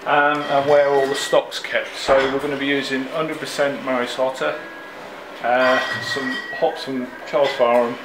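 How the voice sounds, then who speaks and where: A middle-aged head brewer giving a walking tour in plain, unhurried speech with fillers, filmed on a camera held close by. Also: male voice, mid-range voice, flat delivery. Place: large echoey brewery building, indoors. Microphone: handheld camera close by, following him. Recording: echoey rooms with air-conditioning hum. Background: air-conditioning hum.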